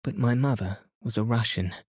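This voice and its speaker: A reserved young Englishwoman speaks in measured, composed sentences, her manner grave and quietly authoritative. The tone is dark and mellow, and the recording is very dry and close, as a man reading the story puts her on. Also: mid-range voice, breathy edge, dry recording. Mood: reflective.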